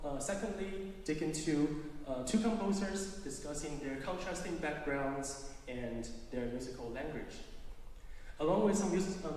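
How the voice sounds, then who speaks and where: Man, Taiwanese accent, slightly high voice, halting academic presenter, distant mic, reverberant recital hall, faint noise. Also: a little mellow. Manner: halting, with repeated phrases. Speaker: doctoral music student giving a lecture recital. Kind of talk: formal academic presentation to an audience.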